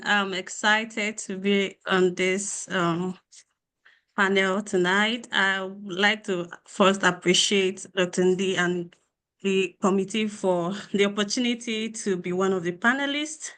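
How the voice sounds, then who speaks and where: A woman's voice: mid-range voice, measured pace, fairly bright tone. Hesitant young woman from Nigeria. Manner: hesitant, many ums and restarts. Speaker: graduate student panelist. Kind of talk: self-introduction on a panel.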